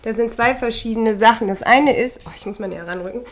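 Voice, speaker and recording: A woman chatting casually as a podcast co-host, teasing her partner with quick questions and then giving thoughtful replies. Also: fairly high pitch, noisy background. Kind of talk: casual chat.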